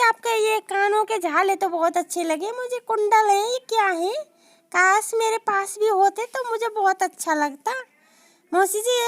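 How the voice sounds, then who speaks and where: A woman's voice: very high-pitched, pitched above the performer's own voice. Woman playing a nagging greedy new bride. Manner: nagging, dramatic, quick to complain. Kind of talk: comic sketch.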